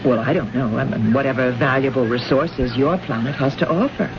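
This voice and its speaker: A woman's voice: extremely low pitch, brisk. An actress playing an earnest, puzzled woman diplomat of the far future in old-time radio drama style, questioning with emphasis. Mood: insistent. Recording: noisy, muffled old radio recording.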